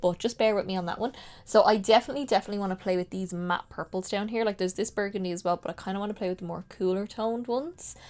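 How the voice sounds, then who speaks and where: A woman's voice: medium pitch, fast, darkish tone. A young woman, a makeup artist, chatting casually through a tutorial, nasal and stuffy from a head cold, rambling and correcting herself as she goes. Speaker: makeup artist and beauty vlogger.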